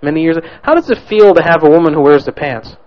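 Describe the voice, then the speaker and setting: A man's voice: fairly high pitch, quick pace, slight background noise. A man hosting a radio show, making a prank call and grilling the caller with incredulous, mocking questions. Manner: needling, incredulous, repeats accusations. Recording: muffled lo-fi radio audio.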